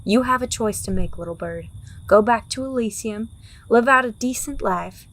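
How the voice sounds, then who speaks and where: A woman's voice: on the high side, normal speed, a bit bright, poor audio. A woman playing a world-weary, pragmatic underworld operative in a fantasy audio drama, blunt and wry with a teasing edge. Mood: firm, persuasive.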